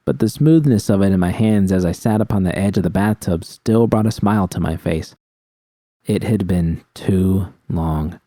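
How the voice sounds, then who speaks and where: Low, mellow male narrator in a dry recording. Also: no echo. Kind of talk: scripted first-person fiction narration.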